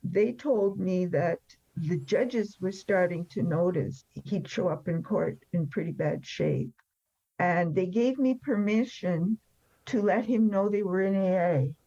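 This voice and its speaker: A woman's voice: on the low side, unhurried, dark tone, dull audio. An older woman in her seventies or beyond, telling her personal story candidly in an interview in a plain-spoken, matter-of-fact way. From born in France, emigrated after the war. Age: 70s or older.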